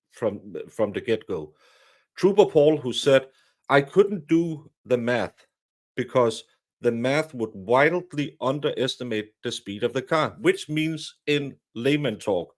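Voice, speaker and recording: Halting man giving opinions. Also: mid-range voice. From Denmark. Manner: halting, repeats words, frequent fillers. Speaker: guest commentator. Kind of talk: casual opinion chat.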